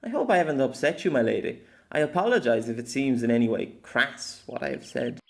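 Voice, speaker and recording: A man's voice: medium pitch, very animated, fairly quick, vocal fry. A man voicing a nonchalant, courteous knight in a fantasy audiobook, speaking in a polite, formal, apologetic way.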